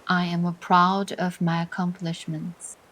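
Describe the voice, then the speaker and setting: Slow, level-voiced woman guiding meditation. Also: fairly low pitch, little pitch movement, fairly bright tone, rough recording. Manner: soothing, deliberate, pausing between short phrases. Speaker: meditation guide. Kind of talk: guided meditation, scripted and instructional. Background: music.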